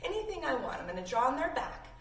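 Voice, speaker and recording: A woman hosting a kids' yoga lesson, giving casual, encouraging instructions in an animated way made for young children. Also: high voice, fast. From Canada. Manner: animated, child-directed, addresses listeners as friends. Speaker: children's yoga teacher and host. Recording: roomy echo, distant mic, faint noise.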